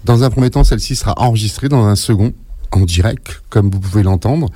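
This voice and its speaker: A man with a mellow, warm voice, laying out a training program on the radio at a steady pace. The sound is dry and close to the mic. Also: on the low side, a little fast, husky, dry recording.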